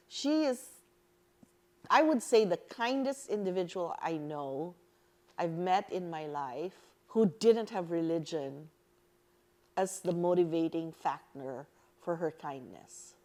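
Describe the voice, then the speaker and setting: Interview guest: woman from the Philippines, middle-aged, low register, earnest and animated storyteller. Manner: earnest, animated, laughs easily. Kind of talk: reflective personal storytelling.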